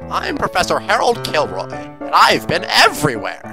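A man's voice: high voice, wildly swooping pitch, normal speed, vocal fry, music in the background, full character voice. A man playing an enthusiastic, boastful old professor and explorer in a comic radio drama. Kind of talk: comedic radio drama acting.

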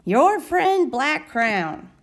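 A woman's voice: sits high, very animated, very slow, exaggerated voice. A woman voicing an aggrieved, overlooked crayon in a children's storybook, complaining. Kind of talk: children's storybook read-aloud.

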